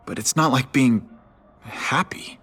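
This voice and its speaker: A man's voice: medium pitch, takes it slowly, a bit bright, breathy edge, exaggerated voice. A young man playing a guilt-ridden student superhero in a video game, with a hesitant, conversational delivery. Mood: uneasy. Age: young adult.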